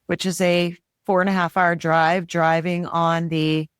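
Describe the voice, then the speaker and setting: A woman's voice: fairly low pitch, flat delivery, measured pace, clean tone, no echo, studio quality. A woman from the United States explaining travel details in a casual chat. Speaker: guest.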